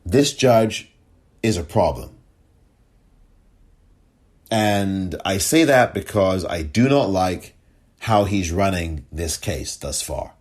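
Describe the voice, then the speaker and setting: Husky, indignant man podcasting. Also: slow, long pauses. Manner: animated, sarcastic, jumps to high pitch when indignant. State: voice cracking from excitement. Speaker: podcast host. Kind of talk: solo commentary podcast.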